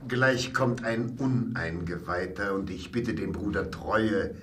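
An actor playing an unctuous older archbishop in a radio play, paternal and measured with quiet menace. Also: male voice, lowish voice. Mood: unctuous calm. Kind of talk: German radio play acting. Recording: distant mic, faint noise.

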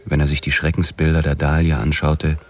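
An actor playing a brooding, obsessed police detective, narrating his own noir story in a measured, sombre manner. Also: a bass voice, completely flat delivery, darkish tone, soft background music. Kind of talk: scripted noir first-person narration.

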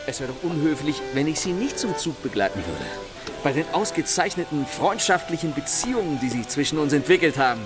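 An actor in a radio drama playing a suave, cheerful gentleman thief, courteous in a teasing, playful way. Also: fairly high pitch, very animated, a little fast, over music. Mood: mock courteous.

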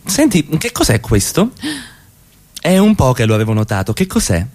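A man's voice: medium pitch, very animated, moderate pace, a little mellow. A man playing a jealous, mocking, menacing middle-class husband in radio theatre, with a probing, sardonic delivery. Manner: probing, sardonic, swinging in intensity.

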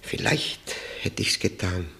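An actor playing a broken, brooding man in a 1960s radio play, confessing in a halting, weary, introspective way. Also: fairly bright tone. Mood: halting, anguished.

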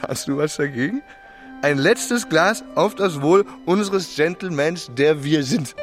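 An actor playing a young, rich, idle French marquis in a radio play, effusive and theatrical. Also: male voice, a little high, expressive, a little slow, soft background music. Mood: drunk elation. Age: young adult. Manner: theatrical, affected, hesitant then effusive.

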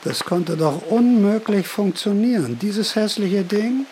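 A man's voice: sits high, lively intonation, a little slow, mellow. A man narrating a radio play in the first person, wry, theatrical and incredulous as he plays interviewer and storyteller.

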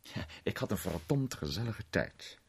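A man playing a worldly film actor in a radio drama, recounting an unsettling memory in a conversational, sometimes hesitant, theatrical way with a husky voice. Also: lowish voice, big pitch swings, normal speed, slight background noise. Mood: amused, frank. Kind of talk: radio drama storytelling in character.

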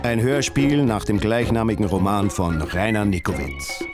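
A man announcing the title and credits of a radio drama in a slow, formal way. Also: fairly low pitch, music in the background.

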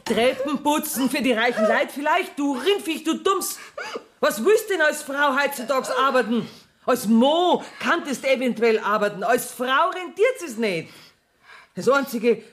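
A woman's voice: fairly high pitch, close to an everyday voice. An actress playing a brash, scheming woman in a radio play, speaking brusquely in Bavarian dialect. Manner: brusque, bossy. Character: brash, scheming, cash-strapped woman, bossy and defiant.

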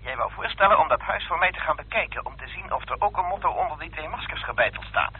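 Husky, coaxing husband, tinny sound. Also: male voice, on the high side, lively intonation. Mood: eager, coaxing. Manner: brisk, cajoling, teasing. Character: eager, coaxing husband and politician, amateur sleuth. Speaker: actor in a radio play. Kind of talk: Dutch radio drama acting. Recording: tinny, band-limited old radio recording.

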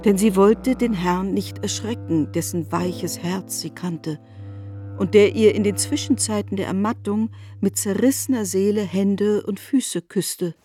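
A woman narrating a literary radio drama as a knowing storyteller, in a measured, articulate manner. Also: mid-range voice, dark tone, husky, soft background music. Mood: grave.

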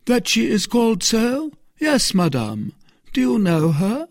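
A man's voice: high voice, huge pitch swings, a little slow, no room sound, clean studio sound, voice pushed up, full character voice. A man doing a kindly, anxious abbess. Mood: earnest. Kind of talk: audiobook character reading.

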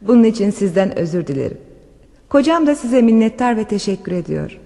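A woman acting in a radio play as a gracious, gentle countess with formal, polite speech. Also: medium pitch, big pitch swings, fairly quick, in a large hall. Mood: formal, warm gratitude. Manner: polite, soft-mannered, formal. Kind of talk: Turkish radio theatre acting.

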